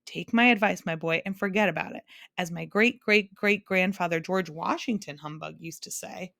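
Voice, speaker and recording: A woman's voice: fairly low pitch, moderate pace, creaky, a bit bright, dry recording, studio quality. A woman doing a pompous old humbug bug. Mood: blustering. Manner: blustering, grandiose, indignant. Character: pompous boastful male humbug bug, older.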